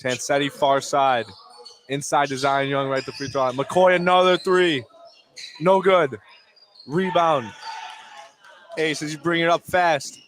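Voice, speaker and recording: A man doing live play-by-play basketball commentary for radio in clipped phrases, lifting into excited calls on big plays. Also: fairly high pitch, a little slow, pauses often. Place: indoor basketball gymnasium. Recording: noisy gym ambience. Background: gym noise, bouncing basketball.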